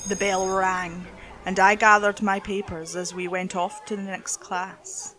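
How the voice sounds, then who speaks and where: A woman's voice: mid-range voice, flat delivery, a little slow, fairly bright tone, slight background noise, poor audio. A woman reading a scripted horror story as its narrator.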